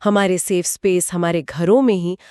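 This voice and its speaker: A woman's voice: fairly low pitch, steady tone, moderate pace, a little mellow, clear, resonant tone, no echo, clean studio sound. A woman co-hosting a podcast, reacting to a horror story and explaining why it unsettles. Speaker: podcast co-host. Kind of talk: conversational podcast discussion.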